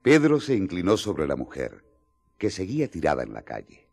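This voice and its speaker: A man's voice: low-pitched, wildly swooping pitch, normal speed, creaky, a little mellow, husky, heavily acted. A male actor playing the grave storyteller of a gospel radio drama, with dramatic scripted narration.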